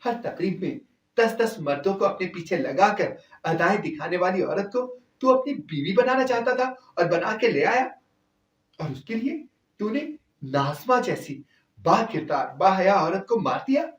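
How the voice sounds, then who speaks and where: Man voicing a scolding mother, raised pitch, echoey. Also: animated, a little slow. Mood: indignant. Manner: scolding, indignant. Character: stern, angry old mother scolding her son. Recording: echoey, distant-sounding voice.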